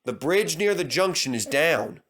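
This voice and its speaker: A man's voice: a little high, lively intonation, measured pace, fairly bright tone. A man voicing a gloomy, grumbling but obliging railway conductor in a children's storybook.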